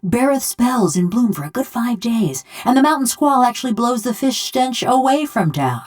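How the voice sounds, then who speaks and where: A woman's voice: a little high, sing-song, normal speed. An actress playing a wry, blunt, vengeful woman killer whose soul lives in a bronze body, speaking in a folksy rustic drawl for a video game. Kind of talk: video game character dialogue.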